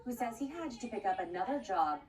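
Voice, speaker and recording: A woman's voice: a little high, lively intonation, a little fast. Woman, news anchor, scripted delivery, slightly distant mic, faint background noise.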